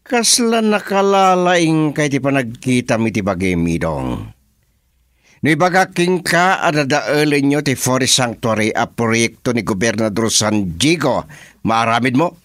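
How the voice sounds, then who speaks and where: A man's voice: mid-range voice, very animated, measured pace, a bit bright, no room sound, clean studio sound. A man playing a commanding, insulting crime boss in a radio drama. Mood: menacing. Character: scornful male crime boss ordering sabotage.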